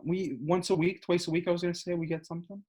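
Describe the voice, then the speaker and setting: Fast-talking, cursing young man. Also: sits high. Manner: swears often, excitable, talks over his co-host. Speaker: podcast co-host. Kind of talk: casual chat.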